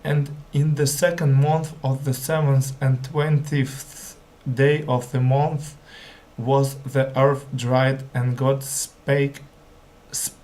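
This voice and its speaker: A male language learner reads scripture aloud at a slow pace. English is not his native language. He moves haltingly, often stumbling and going back over words. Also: medium pitch, flat delivery, darkish tone, low-quality recording. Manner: hesitant, stumbling, repeats words.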